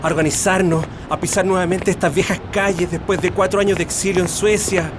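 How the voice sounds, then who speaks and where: An actor playing a heartfelt, hopeful Chilean exile with a Chilean accent in a radio drama, music behind him. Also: male voice, high voice, steady tone, fairly quick, background noise, natural voice. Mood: hopeful, pensive. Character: Chilean male exile in Sweden, emotional, hopeful.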